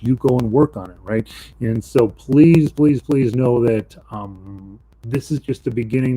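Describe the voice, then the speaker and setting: Low-voiced man teaching ukulele. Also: warm tone, rough recording. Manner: casual, rambling, with many ums and self-corrections. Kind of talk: live online music lesson. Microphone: voice mic and separate instrument mic.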